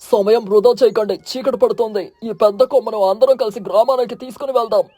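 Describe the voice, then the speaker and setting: A man playing a stern, dignified eagle king in a children's bird story, with a husky, solemn, commanding delivery. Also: high-pitched, fairly even intonation, fairly quick, warm tone, no echo, faint music behind.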